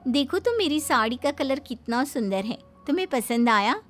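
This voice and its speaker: Lady doing a vain gossipy housewife, really high swoopy voice, cartoon story with music. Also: lively intonation, moderate pace. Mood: showy, chatty. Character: vain, gossipy married woman at a village wedding. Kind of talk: cartoon moral-story voice acting. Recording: background music under the voice.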